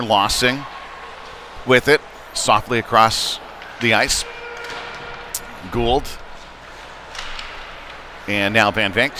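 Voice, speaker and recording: A man doing live play-by-play of a youth hockey game in a halting, folksy, conversational way. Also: fairly low pitch, sharp tone. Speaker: play-by-play commentator. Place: indoor ice rink during a game. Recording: noisy arena, clear rink ambience behind.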